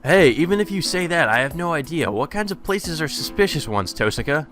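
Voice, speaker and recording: A man's voice: fairly high pitch, expressive, fairly quick, fairly bright tone, pitched above the performer's own voice. Man as earnest schoolboy, bemused, visual novel hero, everyday male voice, faint music behind. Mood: flustered.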